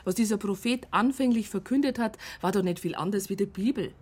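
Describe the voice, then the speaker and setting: A woman's voice: medium pitch, brisk, a little mellow, breathy edge, close to an everyday voice. A woman playing an educated police psychologist in a radio crime drama, with a Bavarian accent and an earnest, wry, argumentative way of talking. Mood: earnest, challenging. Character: female police psychologist, educated, earnest, probing, wryly narrating.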